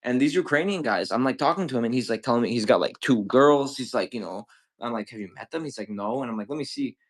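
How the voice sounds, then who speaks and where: A man's voice: medium pitch, animated, quick pace. A man in his twenties chatting casually and profanely while selling on a livestream, rambling with lots of slang. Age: 20s. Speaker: livestream seller.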